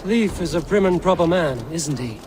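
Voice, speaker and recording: An actor voicing a steady, blunt Viking wanderer in a video game cutscene, talking in a calm, direct way. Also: male voice, a little high, moderate pace, background noise, natural voice. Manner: calm, direct and plain-spoken.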